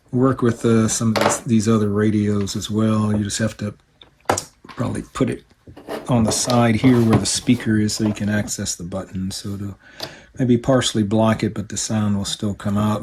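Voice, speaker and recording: A man giving a casual, unscripted hands-on product demonstration in a low-key, hesitant manner, as a hobbyist reviewer. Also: noisy background.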